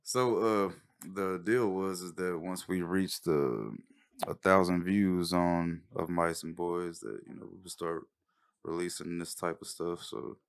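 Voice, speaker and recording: A man giving a casual introduction as a writer-director, speaking in a hesitant, halting way. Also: sits low, fairly even intonation, smooth. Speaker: writer-director presenting a recording.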